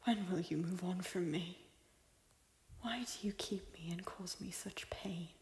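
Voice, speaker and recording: A woman playing a guilt-ridden but defiant noble lady in an audio drama, with an intense, theatrical and often breathy delivery. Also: on the low side, measured pace, darkish tone. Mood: pleading. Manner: theatrical, breathy whispers breaking into intense declarations. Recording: echoey hall with long reverb.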